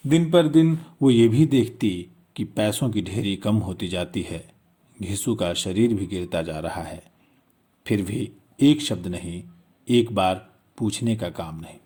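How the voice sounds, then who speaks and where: A man's voice: fairly low pitch, unhurried, mellow, husky. A man narrating a literary story in a dramatic storytelling style.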